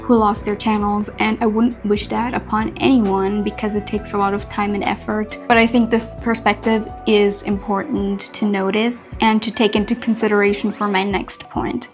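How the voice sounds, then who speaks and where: A young woman from Switzerland, a native Swiss German speaker, chatting earnestly with soft laughs while carving a pumpkin in a Q&A vlog. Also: medium pitch. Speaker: content creator.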